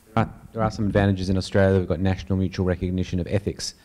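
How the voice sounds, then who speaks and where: A man chairs a panel discussion in an auditorium. His voice is very low and soft-edged. He talks informally, repeating phrases while he works out his question. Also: steady tone, racing. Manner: thinks aloud, repeats phrases. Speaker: panel moderator. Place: large auditorium stage.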